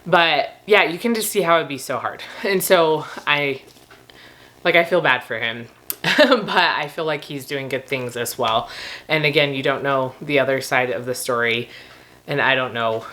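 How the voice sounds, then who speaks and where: A woman with a slightly rough, recovering voice, rambling casually and informally to camera as she reviews books. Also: a bass voice, moderate pace, fairly bright tone, low-quality recording. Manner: informal, laughs often while talking. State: slightly rough, gravelly voice after losing it. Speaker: book review vlogger.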